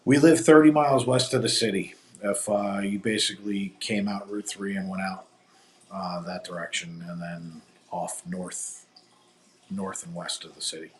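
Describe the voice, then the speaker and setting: A man's voice: low voice, fairly even intonation, moderate pace, slight background noise. A man hosting a live stream, chatting casually and rambling through opinionated sports talk. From New Jersey. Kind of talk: casual chat. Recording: echoey room.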